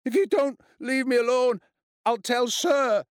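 Man voicing a quivering, high-pitched frightened schoolboy. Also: unhurried, smooth, no echo, studio quality. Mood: desperate. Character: timid, bullied schoolboy, nervous and pleading.

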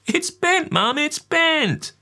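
A man's voice: very high voice, sing-song, slow, gravelly, pushed and bright, pitched above the performer's own voice, exaggerated voice. A man voicing a whiny young boy in a storybook reading. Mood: whining. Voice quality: whining. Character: whiny young boy complaining about his racket.

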